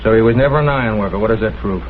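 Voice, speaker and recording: An actor playing a skeptical police lieutenant in a vintage crime drama, talking in a dry, blunt way. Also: male voice, lowish voice, rapid-fire, natural voice. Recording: tinny old soundtrack with background hiss.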